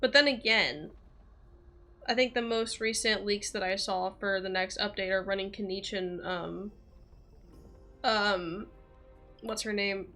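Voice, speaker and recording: A woman game streamer chatting casually with viewers, rambling and thinking out loud. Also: mid-range voice, steady tone, measured pace, long pauses, faint music behind.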